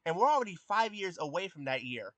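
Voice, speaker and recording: A man of twenty chatting casually with his live stream viewers. He has a youthful voice that has not deepened, and he hesitates often with ums and restarts. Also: big pitch swings, a little fast, a bit bright, dry recording. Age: 20s. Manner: hesitant, many ums and restarts, answers chat questions.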